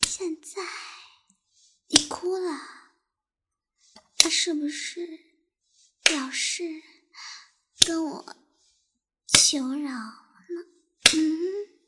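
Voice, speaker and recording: Young woman as a taunting cruel tormentor, high bright voice, drawn-out slow delivery, mocking whispers. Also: heavy background noise. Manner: teasing, mocking, drifts into whispers; laughs softly between taunts. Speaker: voice actor in audio roleplay. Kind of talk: intimate audio roleplay.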